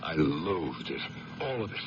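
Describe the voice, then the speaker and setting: Deep, troubled, proud district attorney. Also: male voice, big pitch swings, unhurried, full character voice. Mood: bitter. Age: middle-aged. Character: troubled middle-aged male district attorney, proud, defensive, haunted. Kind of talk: 1970s radio drama acting. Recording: noisy, muffled 1970s radio broadcast recording.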